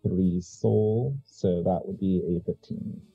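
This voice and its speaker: A man's voice: deep voice, steady tone, slow, creaky, dark tone, slightly rough, muffled recording. A man playing a tabletop game, talking casually and hesitantly, recorded a little dully with music behind him.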